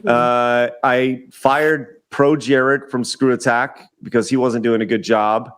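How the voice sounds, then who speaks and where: A man's voice: medium pitch, fairly even intonation, slow pace, a bit bright, clean tone. Laid-back man in his forties, stumbling restarts. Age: 40s. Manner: repeats words and restarts sentences often. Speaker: podcast guest. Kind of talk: casual chat. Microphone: remote call audio.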